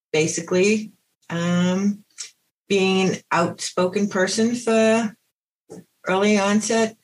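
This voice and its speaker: Middle-aged woman, deep low register, candid interview guest. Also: poor audio. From United States. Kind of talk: personal account in an interview.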